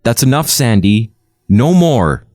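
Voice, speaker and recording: A man's voice: mid-range voice, very animated, slow pace, a little mellow, no room sound, studio quality, voice pushed up. A man voicing an irritated, no-nonsense young woman of the 1800s, curt and indignant, in a storybook tale. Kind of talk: storybook character voice in narration.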